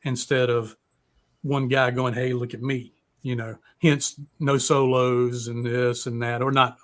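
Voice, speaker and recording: Guy hosting a music chat show, rambles with lots of ums, medium pitch. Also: level tone, a little slow. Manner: rambling, hesitant, filler-heavy phrasing. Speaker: music talk show host. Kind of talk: casual, unscripted chat.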